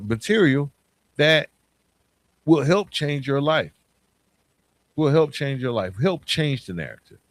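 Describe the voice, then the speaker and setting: A man's voice: medium pitch, no room sound. A man hosting a motivational coaching podcast, speaking earnestly and emphatically, addressing listeners directly and repeating phrases to drive them home. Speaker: podcast host.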